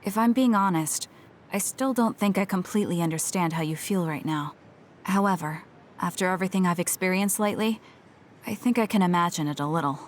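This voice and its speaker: A woman's voice: lowish voice, fairly quick, a little hiss or hum, lightly acted. An actress playing a stern, reserved but caring young female soldier in a video game, with a cool, matter-of-fact delivery. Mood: earnest, contrite. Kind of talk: video game English voice acting. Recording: game music behind the voice. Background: game music.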